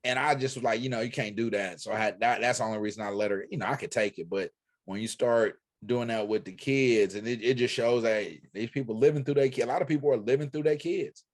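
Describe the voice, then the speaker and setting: Male co-host, mid-pitched voice, animated conversational storyteller. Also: fast talker. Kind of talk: casual discussion.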